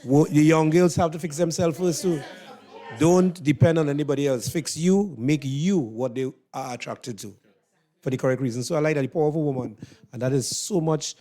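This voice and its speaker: A man's voice: a little high, fairly quick, dark tone. A man with a Trinidadian accent hosting a casual interview as the one asking questions. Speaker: interviewer and host.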